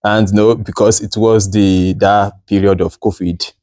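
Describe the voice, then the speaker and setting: Hesitant Nigerian-raised man, dark voice. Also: lowish voice, level tone, a little slow, dry recording, clean studio sound. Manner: hesitant, many fillers and restarts, laughs often. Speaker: guest. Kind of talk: casual interview chat.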